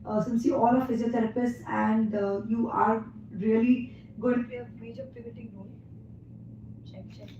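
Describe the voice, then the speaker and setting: A woman's voice: on the high side, slight background noise. Indian woman with a worn voice, echoey hall. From India (Mumbai). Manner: energetic, pauses often with "uh". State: losing her voice. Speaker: guest speaker at a physiotherapy event. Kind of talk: conference talk to an audience. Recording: echoey hall, distant dull mic.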